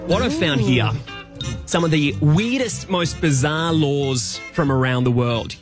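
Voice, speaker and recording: A man, a radio co-host running a quiz game, upbeat and brisk. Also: fairly high pitch, lively intonation, soft background music.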